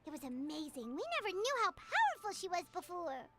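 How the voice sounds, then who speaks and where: Casting: a tiny chirpy sprite who calls herself by name, very high, lively, over game music. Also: female voice, brisk, heavy background noise. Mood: chirpy curious. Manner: talks about herself in third person. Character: tiny floating female companion sprite, chirpy. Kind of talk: video game voice acting. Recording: game audio with music behind.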